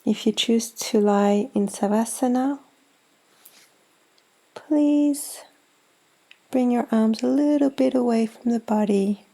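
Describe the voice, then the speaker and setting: A woman leading a guided meditation in a gentle, soothing, unhurried manner with long pauses. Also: on the high side, creaky. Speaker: yoga nidra meditation guide.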